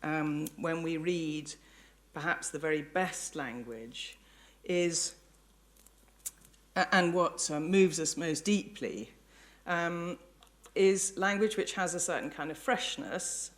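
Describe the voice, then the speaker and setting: A woman's voice: low voice, slow. Reflective English woman giving a lecture. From England. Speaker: lecturer, professor. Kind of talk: public academic lecture. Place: lecture hall before an audience.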